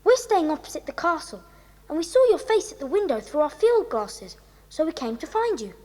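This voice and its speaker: High, echoing English schoolboy. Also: female voice, big pitch swings, brisk, mellow. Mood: earnest, polite. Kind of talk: children's audio drama acting. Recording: long reverberant hall sound.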